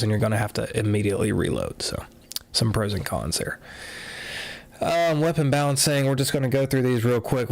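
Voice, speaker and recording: A man casually walking through game patch notes live, a little nasal after a cold, talking in a relaxed way with lots of fillers. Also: mid-range voice, no room sound. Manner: relaxed, filler-heavy, offhand commentary. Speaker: gaming content creator.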